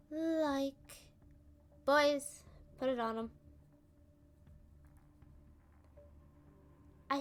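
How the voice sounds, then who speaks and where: A woman's voice: a little high, darkish tone. A woman playing a playful, smug female supervillain in an ASMR roleplay, teasing and talking down to the listener. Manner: teasing, condescending, drawn-out delivery.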